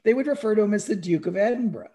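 Podcast discussion voice: mid-pitched, halting and conversational, repeats words, tags phrases with "right?". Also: expressive, mellow. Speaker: podcast speaker. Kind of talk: casual discussion of music history.

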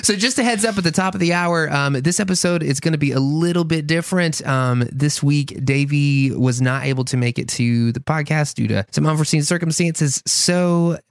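A man hosting a podcast in casual chat, with a nasal voice, goofy and quick to laugh. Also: fairly high pitch, no echo, clean studio sound. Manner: goofy, laughs often, animated. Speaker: podcast host. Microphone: studio mic with headphones.

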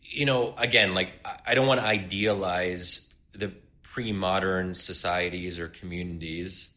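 Low voiced guy on a podcast, kinda sharp tone, lots of likes and ums, chuckles a bit. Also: steady tone, measured pace. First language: English. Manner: thinking aloud with many fillers and restarts; laughs lightly while making points. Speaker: podcast co-host. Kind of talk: casual intellectual discussion.

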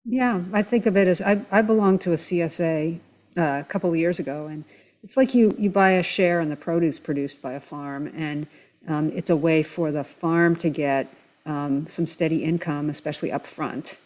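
An adult with a middle-range voice talks as an expert guest on a talk show. The delivery is conversational and explanatory, laying points out in a measured way with plain examples. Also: mid-range voice, darkish tone.

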